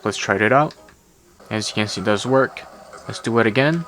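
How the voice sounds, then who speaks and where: A man's voice: fairly low pitch, normal speed, fairly bright tone. A man narrating a tech gadget review in an explanatory, step-by-step way. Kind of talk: product review voice-over.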